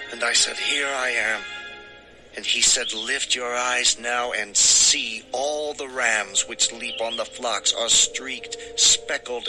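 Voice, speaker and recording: An actor playing an earnest, wronged shepherd husband, declaiming in a measured way in a dramatized scripture story. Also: male voice, mid-range voice, lightly acted, rough recording.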